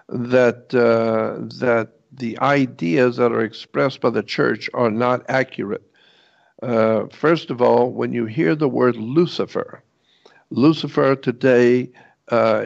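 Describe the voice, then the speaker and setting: A man giving an informal explanatory lecture in a halting, rambling way, repeating points for emphasis. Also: medium pitch, creaky. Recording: dull, lo-fi muffled audio.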